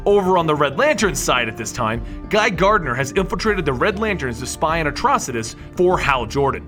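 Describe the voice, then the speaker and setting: A high-voiced man narrates a comic book story with very animated pitch. His tone is bright and emphatic, and he addresses the audience directly over music. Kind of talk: lively scripted storytelling narration.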